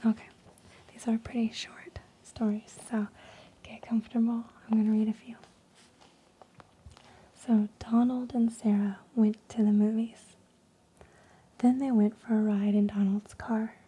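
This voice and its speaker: A woman reading stories in a soft unvoiced ASMR whisper, slow and hushed with frequent ums, while losing her voice. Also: a little high, little pitch movement, slightly rough, poor audio. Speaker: ASMR creator.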